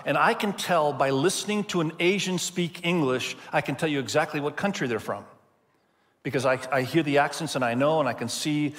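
A man who grew up in Indonesia, preaching an earnest, emphatic sermon to a church gathering. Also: on the high side. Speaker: pastor. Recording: long hall reverb.

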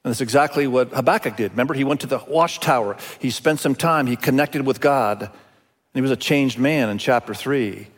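A man from Indonesia, a native Indonesian speaker, preaching a sermon as a pastor in an emphatic way with deliberate pauses and repetition. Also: mid-range voice. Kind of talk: expository sermon. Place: large hall, speaking to a congregation. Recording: reverberant large hall sound.

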